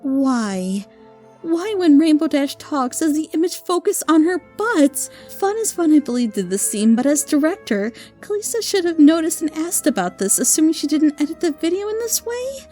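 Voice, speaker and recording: A woman with a high, softly mellow voice delivers a scripted critique. She reasons through her points in a wry, analytical way. Music plays underneath her narration. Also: very animated, moderate pace. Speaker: reviewer of fan audio dramas. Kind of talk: scripted review commentary.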